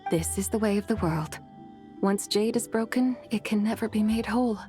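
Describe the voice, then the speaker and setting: A woman's voice: mid-range voice, mellow, soft background music, lightly acted. Woman as a serene, stately immortal lady. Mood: wistful, resigned. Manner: formal, measured, poised. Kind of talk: video game voice acting.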